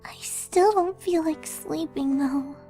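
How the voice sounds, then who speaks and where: Very high, timid girlish cartoon pony. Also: slow, warm tone. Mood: soft, tearful. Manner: meek, hesitant. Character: timid, sad young female pegasus pony. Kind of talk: cartoon fan fiction character reading. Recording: music under the voice.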